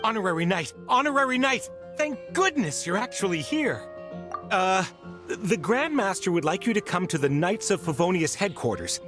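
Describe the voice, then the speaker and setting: High-pitched, earnest young knight messenger. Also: male voice, expressive, normal speed, over music. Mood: relieved, urgent. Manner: urgent, polite. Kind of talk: video game voice acting.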